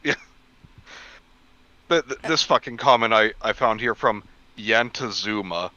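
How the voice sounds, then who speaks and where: A man chatting casually and jokingly as a guest on a stream, halting now and then and swearing. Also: lowish voice, pushed and bright, no echo, lo-fi sound.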